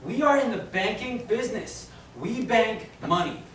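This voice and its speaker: A man's voice: high voice, lively intonation, moderate pace, fairly bright tone. A man acting a pompous bank manager who is losing patience with a visitor, in a stage play. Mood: stiff, patient. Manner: stuffy, exasperated, prone to outbursts. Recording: roomy hall from far off, faint noise.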